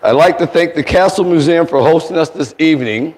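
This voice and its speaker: A man with a cold, his voice raspy, giving a formal, courteous welcome speech as chairman at an indoor event. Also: a little high, fairly quick, a little mellow, slight background noise. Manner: courteous, thanking people one by one. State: getting over a cold. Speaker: foundation board chairman. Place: indoor museum event space. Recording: long hall reverb.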